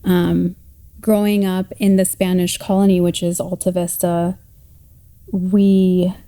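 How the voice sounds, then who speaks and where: A woman in her thirties from Colorado tells her personal story in a reflective, unhurried way. Her voice sits a little low and sounds mellow and soft. She pauses often and restarts her thoughts as she speaks. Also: level tone. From Colorado, United States. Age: 30s. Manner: reflective, halting, with many fillers and restarts. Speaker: oral history storyteller.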